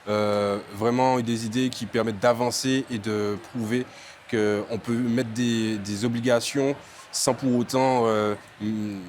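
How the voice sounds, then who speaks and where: A man's voice: lowish voice, slight background noise. A young man from Martinique, an entrepreneur, answering interview questions with many fillers and restarts.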